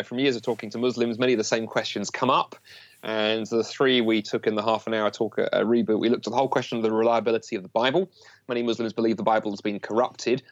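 An English man being interviewed on the radio, explaining in a lively, good-humoured way. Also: on the low side, dry recording, dull audio. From England. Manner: animated, explanatory, light humour.

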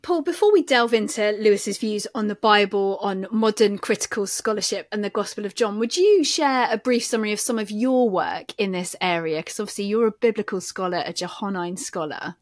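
A woman interviewing a scholar, asking prepared questions in a measured, polite way. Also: medium pitch, dry recording, clean studio sound.

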